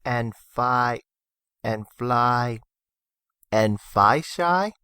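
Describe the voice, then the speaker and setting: Man voicing a slow, halting childlike young colt. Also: mid-range voice, animated, bright, forceful tone, clear, resonant tone, dry recording, clean studio sound, full character voice. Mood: shy, hesitant. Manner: halting, repeating others' phrases. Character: anxious childlike young male pony who echoes words.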